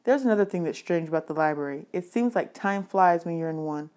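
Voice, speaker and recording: A woman's voice: lowish voice, animated, brisk, darkish tone. A woman reading a children's novel aloud as audiobook narration, in the manner of a teacher.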